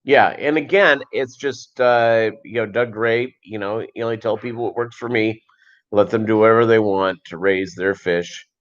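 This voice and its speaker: A man hosting a casual livestream, chatting and answering viewers in a rambling, halting, conversational way. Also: lowish voice. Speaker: livestream host. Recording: dull, lo-fi livestream audio.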